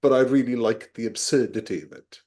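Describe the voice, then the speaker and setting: A man's voice: mid-range voice, animated, fairly quick, dark tone. A man co-hosting a casual film podcast, swearing freely as he explains folklore at length. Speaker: podcast co-host.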